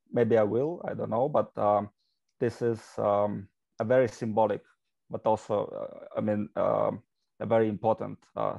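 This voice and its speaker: Gravelly-voiced man on a dull video call. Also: lowish voice, a little mellow, no room sound. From Russia. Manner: hesitant, many fillers and restarts. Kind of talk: casual video-call interview. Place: home study with bookshelves. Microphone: video call, laptop mic.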